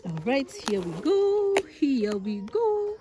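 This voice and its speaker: A young woman from Uganda, a vlogger, unboxing outdoors in a village yard, talking with giddy excitement, laughing often and exclaiming. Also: high voice, slow, background noise. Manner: giggly, breathless with excitement, frequent laughs and exclamations. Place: outdoors in a rural village yard, seated on a mat.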